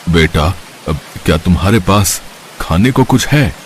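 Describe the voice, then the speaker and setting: A man voicing a gentle, fatherly old wandering holy man in an animated story. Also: low voice, a little slow, slight background noise.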